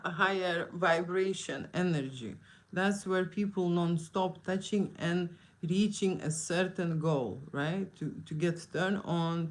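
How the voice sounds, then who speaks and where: A woman with a Lithuanian accent chatting casually on a livestream, answering viewers' questions and often adding "okay?" to her sentences. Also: lowish voice. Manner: chatty, frequent "okay?" tags, non-native phrasing. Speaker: photographer hosting a live Q&A. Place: indoor studio. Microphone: phone.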